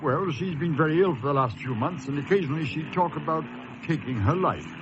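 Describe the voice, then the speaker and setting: An actor playing a guilty, nervous husband with an anxious, evasive, halting manner in a suspense radio drama. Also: male voice, fairly high pitch, expressive, noisy background, lightly acted. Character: guilty, nervous husband hiding a dark secret. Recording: muffled radio recording, music behind.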